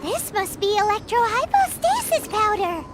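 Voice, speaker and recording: A woman voicing a tiny, cheeky, excitable fairy companion with a squeaky, childlike voice in a video game. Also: moderate pace, music in the background. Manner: speaks of self in the third person, exclamatory.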